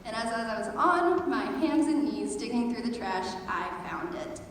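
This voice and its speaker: Teenage girl, high voice, very echoey hall. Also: very animated, normal speed. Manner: dramatic, comically overstated. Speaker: high school theater student performer. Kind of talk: scripted personal storytelling monologue on stage. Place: large echoey indoor performance space. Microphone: distant camera microphone. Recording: very echoey hall, distant, faint noise.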